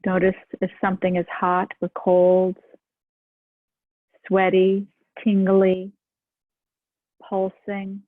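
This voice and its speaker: Woman meditation guide, unhurried and gentle, dry thin phone-like recording. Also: mid-range voice, completely flat delivery, no echo. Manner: slow, soft and soothing.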